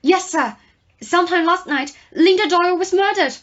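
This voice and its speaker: Woman acting a high-voiced, nervous ship's steward. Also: normal speed, muffled recording, noisy background. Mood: anxious, grave. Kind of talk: audio play acting.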